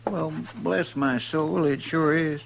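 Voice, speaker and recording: Casting: loyal homespun deputy, creaky voice, twang, earnest, 1950s radio drama. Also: male voice, on the high side, fairly even intonation, slow pace. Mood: puzzled, wondering. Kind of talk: 1950s radio western acting. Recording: noisy old radio drama recording, slightly distant.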